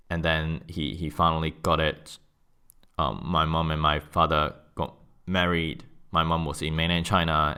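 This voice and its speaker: A man's voice: very deep voice, sharp tone, clear, resonant tone. A man in his thirties from Hong Kong with a Hong Kong accent, answering interview questions thoughtfully and restarting his sentences often. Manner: thoughtful, frequent restarts and fillers. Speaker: guest. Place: office.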